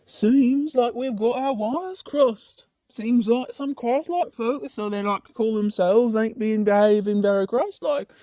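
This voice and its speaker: A woman's voice: a little high, a little slow, hardly any pauses, dark tone, no room sound, natural voice. A woman playing a laid-back, chatty ordinary demon in a comic puppet show, with a casual, rambling, teasing way of talking. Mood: wry, rambling.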